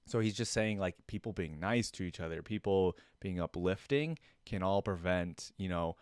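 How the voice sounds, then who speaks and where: A man's voice: deep voice, moderate pace, smooth, no echo, clean studio sound. A man hosting a reaction video, giving casual spoken commentary.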